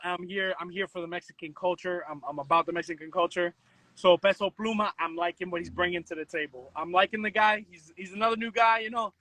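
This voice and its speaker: A man speaks in a high, sharp voice, recorded close and dry. He is an interview guest telling stories casually, with slang and strong opinions. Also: fast talker, no room sound, poor audio. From the Bronx, New York. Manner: animated storytelling, slangy, switches into Spanish. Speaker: interview guest, content creator.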